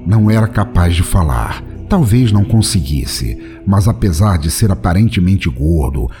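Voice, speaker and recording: A man's voice: deep voice, lively intonation, moderate pace. A man with a Brazilian accent delivering scripted narration for a comic action story. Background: music.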